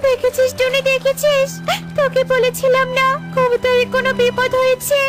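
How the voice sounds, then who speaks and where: A woman's voice: extremely high pitch, completely flat delivery, moderate pace. A woman voicing a small, anxious, caring female bird in a children's cartoon, earnest and pleading. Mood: worried. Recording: music playing behind the voice.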